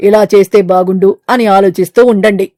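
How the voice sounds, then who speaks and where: A woman plays a gruff male eagle chief from an animated storybook, blunt and domineering, with a mellow, warm tone. The sound is close and dry, with no room around it. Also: medium pitch, fast, no echo, lightly acted. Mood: dismissive. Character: stern male eagle trader, fruit market boss.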